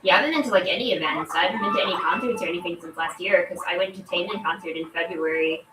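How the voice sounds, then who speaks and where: A woman's voice: fairly low pitch, rapid-fire, very noisy. A congested woman streamer chatting casually while gaming, in a rambling, informal way full of "like". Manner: rambling, informal, filler-heavy "like". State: congested from allergies. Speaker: game streamer. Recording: echoey room, distant mic, loud music. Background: loud video game music.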